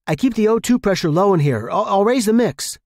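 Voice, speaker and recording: A man's voice: high-pitched, lively intonation, fairly quick, a little mellow, dry recording, studio quality, pitched above the performer's own voice. A man voicing a frail, awkward, solitary space station keeper in an audio story, speaking hesitantly. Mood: hurried reassurance. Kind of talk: audio story character reading.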